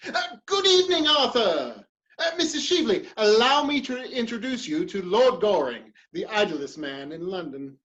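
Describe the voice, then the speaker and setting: A woman's voice: mid-range voice, very animated, moderate pace, fairly bright tone. A woman playing a courteous, curious male politician in a period stage comedy, speaking formally on a slightly dull microphone. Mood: polite, probing.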